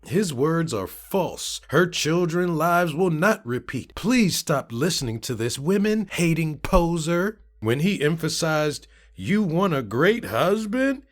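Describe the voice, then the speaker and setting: Theatrical higher-voiced man, animated reading. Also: slow pace, hardly any pauses. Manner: emphatic, theatrical, shifting delivery. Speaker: narrator reading social media comments.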